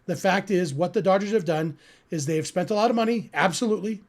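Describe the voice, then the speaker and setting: A man's voice: high voice, fast. An American man from California hosting a sports podcast, chatting casually and earnestly as he answers listeners. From California, United States.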